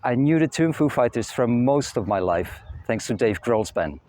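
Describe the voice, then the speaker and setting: A young man with a Czech accent, hosting his own channel and telling stories in a steady, matter-of-fact way. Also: mid-range voice, moderate pace. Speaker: channel host. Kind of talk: scripted narration. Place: outdoors near a castle.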